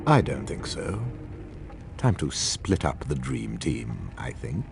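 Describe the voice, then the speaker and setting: An actor playing a cold, disdainful middle-aged professor in a video game, drawling and gravelly. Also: male voice, a bass voice, big pitch swings, slow pace, slight background noise, heavily acted. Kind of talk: video game character acting. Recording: loud music and crowd behind.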